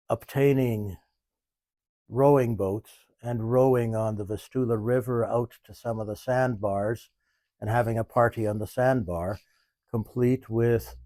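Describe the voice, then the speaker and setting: Older man, thoughtful interview, mellow lowish voice, dry close sound. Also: no echo. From Canada. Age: 70s. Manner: thoughtful, measured, pauses mid-sentence. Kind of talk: reflective interview. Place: small museum.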